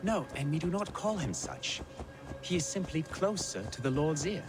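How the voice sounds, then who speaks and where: Sardonic, pious young man, animated. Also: a little high, normal speed, music in the background. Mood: sanctimonious. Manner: sardonic, sanctimonious. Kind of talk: video game cutscene acting.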